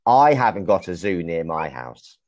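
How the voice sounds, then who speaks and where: A young man from England, teaching a young child over a video call in slow, clear, encouraging speech full of exclamations. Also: low-pitched. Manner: slow, clear and lively, often exclaims and laughs. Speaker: online English teacher. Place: small room indoors. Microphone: video call with wired earphone mic.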